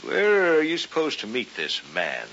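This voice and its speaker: Bright, forceful, skeptical family doctor. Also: male voice, a little high, huge pitch swings, full character voice. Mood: curious, doubting. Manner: measured, probing, authoritative. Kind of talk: vintage radio drama acting. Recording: dull vintage radio with hiss.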